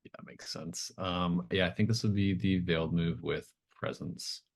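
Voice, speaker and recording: A man improvising narration as game master of a tabletop horror game, phrasing things haltingly as he thinks aloud. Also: low-pitched, fairly even intonation, vocal fry.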